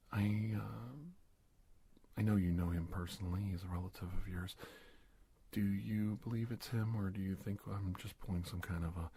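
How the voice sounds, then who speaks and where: A man playing a calm, reassuring government agent in a soft-spoken ASMR roleplay, breathy and gravelly, hesitant and gentle, often dropping toward a whisper. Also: deep voice, slight background noise. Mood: calm, measured.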